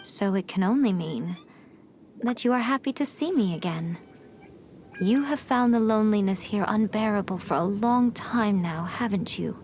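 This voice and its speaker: A woman's voice: mid-range voice, normal speed, a little mellow, slight background noise, lightly acted. A woman playing a sly, centuries-old fox envoy in a video game, with playful, formal phrasing. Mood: teasing. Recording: music behind the voice.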